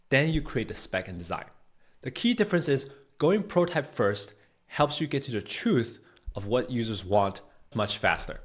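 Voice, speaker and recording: A man hosting a software tutorial, explaining things in a conversational way as he walks through a screen demo. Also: medium pitch. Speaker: host, product manager giving a tutorial. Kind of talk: tutorial narration with screen walkthrough.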